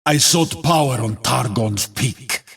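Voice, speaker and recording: A man voicing a grim, resolute warrior hero in a video game, raspy and gravelly, making weighty, defiant pronouncements. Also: mid-range voice, slow, fairly bright tone, soft background music, heavily acted. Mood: solemn, defiant.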